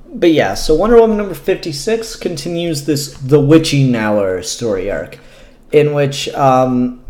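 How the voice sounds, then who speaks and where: A man's voice: mid-range voice, darkish tone. Echoey-sounding man reviewing comics, candid and rambling. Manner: candid, rambling, casually sweary. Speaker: comic book reviewer, show host. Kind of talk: casual unscripted review vlog. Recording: echoey room.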